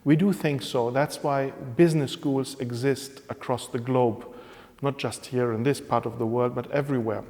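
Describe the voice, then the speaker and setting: A man from Hungary, a professor whose first language is Hungarian, answers questions in English. He talks at a mid pitch, steady and explanatory, pausing now and then to find his words. A long reverb trails each phrase. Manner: measured, explanatory, with pauses and fillers. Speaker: interviewed professor. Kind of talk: spontaneous interview answers in English. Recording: reverberant room.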